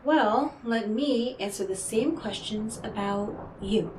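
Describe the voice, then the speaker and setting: Woman acting as a slow-talking, enigmatic devilish stranger. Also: on the high side, darkish tone, echoey room, a little hiss or hum, poor audio. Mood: teasing, commanding. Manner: coy, deliberate, theatrical, talking directly to the listener. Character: enigmatic devilish female stranger offering deals, smooth, teasing, condescending. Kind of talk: scripted roleplay audio drama monologue.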